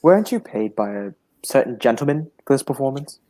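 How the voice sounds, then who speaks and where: An actor playing a loyal, earnest gentleman sidekick in old-style radio drama, speaking politely and inquisitively. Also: medium pitch, animated, fairly quick, natural voice. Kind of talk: 1940s radio drama acting.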